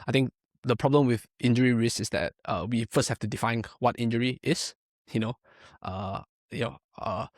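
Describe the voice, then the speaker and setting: A man's voice: mid-range voice, normal speed, dry recording, clean studio sound. A young man from Malaysia, a powerlifting coach, talking casually in a podcast interview, filling his speech with "like" and "right?". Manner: rambling, many fillers and tag questions.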